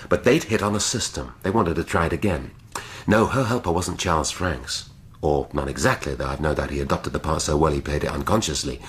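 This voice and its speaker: Middle-aged English detective for radio drama: very deep, measured, brooding, faint background noise. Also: male voice. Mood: reasoning, intent. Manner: measured, deliberate, dry. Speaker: actor.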